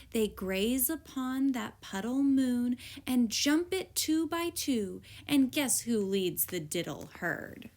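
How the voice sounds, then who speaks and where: A woman reading a children's picture book aloud as a teacher would, in an expressive, deliberate storytelling style. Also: fairly high pitch.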